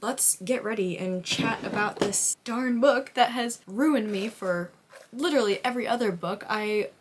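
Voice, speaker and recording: A woman's voice: fairly high pitch, measured pace. A young adult woman chatting casually as a book vlogger, dramatic and slangy, speaking with emotive exaggeration. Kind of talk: casual reading vlog, confiding chat to camera. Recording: noticeable background noise.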